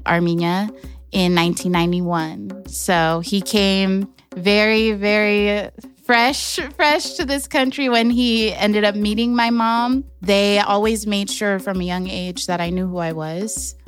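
A young adult woman from Los Angeles telling her family story in a slow, reflective way, with careful restarts. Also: on the low side. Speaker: storyteller, artist and organizer. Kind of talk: personal storytelling.

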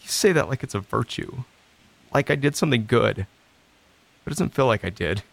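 A man acting the part of a lonely, self-doubting recovery coach in an audio drama, halting and introspective. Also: mid-range voice, lively intonation, creaky, no room sound. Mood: despairing.

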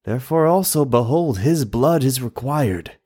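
A man's voice: medium pitch, expressive, moderate pace, mellow, breathy edge, pitched above the performer's own voice. A man voicing an earnest, insistent eldest brother.

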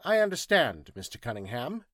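A man's voice: mid-range voice, sing-song, moderate pace, fairly bright tone, no echo, clean studio sound. A man voicing a curt, petulant, exacting scientist detective in an audiobook story, speaking precisely and didactically. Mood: curt, certain.

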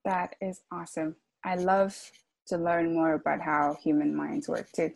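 A woman's voice: low-pitched, steady tone, normal speed, vocal fry, a little mellow. A woman hosting a podcast interview, upbeat and welcoming, with short affirmations. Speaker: podcast host.

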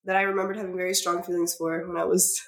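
A young woman co-hosting a casual chat podcast, bubbly and quick to laugh. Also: fairly low pitch, level tone, fairly bright tone. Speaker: podcast co-host.

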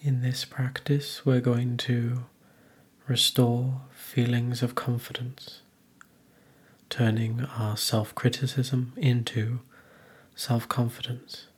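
Slow, husky man guiding meditation. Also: mid-range voice, little pitch movement. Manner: gentle, measured, with long pauses between phrases. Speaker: guided meditation teacher. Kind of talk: guided meditation, soothing instruction.

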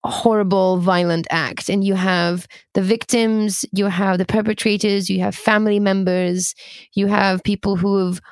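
Host: woman, mid pitch, dry close recording, gentle appreciative tone. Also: fairly even intonation, measured pace, clear, resonant tone, dry recording. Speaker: podcast co-host. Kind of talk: interview chat.

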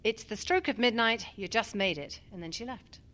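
A woman's voice: a little high, normal speed, a bit bright, natural voice. A woman playing a nervous, skeptical study volunteer in a radio drama, clipped, impatient and sardonic. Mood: matter-of-fact.

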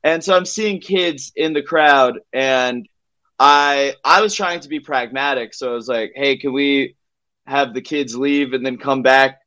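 A man telling a story as a guest comedian on a casual podcast, halting and repeating himself as he acts out exchanges. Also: medium pitch, pushed and bright, poor audio.

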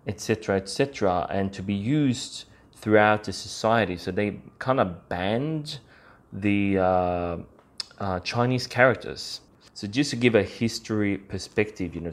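A man hosting a podcast alone, explaining things in an informal, conversational way with frequent check-ins to the listener. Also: lowish voice. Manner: informal, conversational, peppers talk with "you know" and "right?". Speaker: podcast host. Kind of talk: casual explainer podcast monologue.

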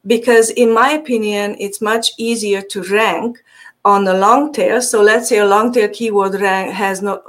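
A woman's voice: mid-range voice, fairly even intonation, a little slow. A woman from Transylvania with a Hungarian accent, a marketing guest chatting in an interview, with frequent fillers, restarts and easy laughter. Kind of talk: casual interview chat.

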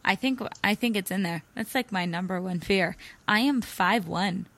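A woman with a raspy voice co-hosting a podcast, playful and swearing casually. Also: medium pitch, normal speed, fairly bright tone. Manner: playful, swears freely, laughs. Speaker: podcast co-host. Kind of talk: casual chat.

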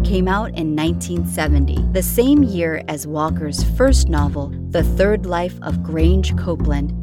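Low-voiced woman narrating over music. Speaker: podcast narrator. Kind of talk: scripted narration. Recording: music behind the voice.

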